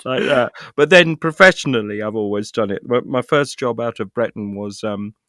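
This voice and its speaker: A man's voice: medium pitch, moderate pace, fairly bright tone, dry recording, clean studio sound. A middle-aged British man chatting casually as an interview guest, self-deprecating and thinking aloud as he rambles. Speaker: guest, actor and writer.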